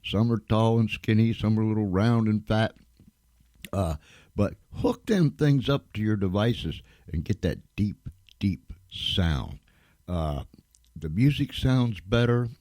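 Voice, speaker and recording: Slow, low-voiced man hosting radio. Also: animated, mellow, no echo, clean studio sound. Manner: folksy, colloquial, repeats words for emphasis. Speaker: radio show host. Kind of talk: folksy radio show hosting.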